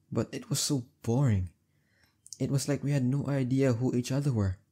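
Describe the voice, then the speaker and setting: A young man acting as a heartbreaker friend in an intimate roleplay audio, talking casually and haltingly with emphasis and a soft husky edge. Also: mid-range voice, natural voice. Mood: awkward, let down.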